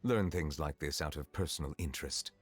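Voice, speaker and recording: Deep raspy formal game sage, aloof, lecturing, music in background. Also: male voice, a little fast, no echo, clean studio sound. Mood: grave, lecturing. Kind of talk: scripted game character dialogue.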